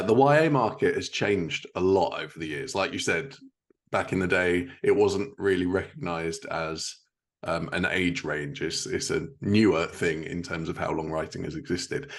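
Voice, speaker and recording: Man, very low voice, creaky fry, podcast host, conversational interviewing.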